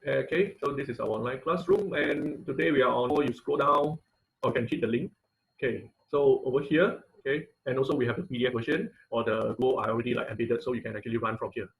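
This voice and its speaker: A man teaching an informal online class and taking questions, speaking haltingly and often repeating phrases as he searches for words. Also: medium pitch, vocal fry, rough recording. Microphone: online video-call audio.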